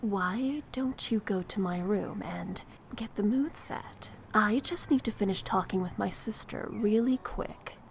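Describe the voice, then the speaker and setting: A woman's voice: medium pitch, a little slow, creaky. Actress doing a tough streetwise young woman, cynical and scheming, audio drama, noisy ambience behind. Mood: coaxing. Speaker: voice actor.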